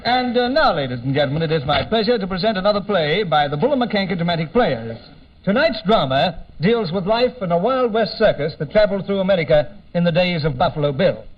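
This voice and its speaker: A man's voice: high voice, lively intonation, quick pace. An Australian man playing a formal, easily exasperated compere in an old-time radio comedy. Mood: formal, announcing. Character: exasperated pompous male theatre-troupe compere. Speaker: announcer and master of ceremonies. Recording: muffled, very noisy old radio recording.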